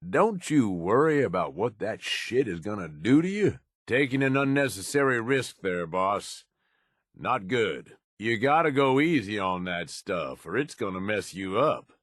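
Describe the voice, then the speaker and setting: A man voicing a cynical, ruthless raider henchman in a video game, with a rough husky voice and a folksy, slangy, sardonic drawl. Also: fairly low pitch, very animated, measured pace, fairly bright tone, dry recording, studio quality, heavily acted. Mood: disapproving, sarcastic. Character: gruff cynical ruthless male raider henchman in a post-apocalyptic wasteland.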